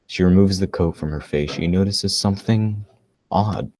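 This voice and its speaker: A man's voice: low voice, normal speed, darkish tone, no echo. A man reading scripted narration as the storyteller of a radio play.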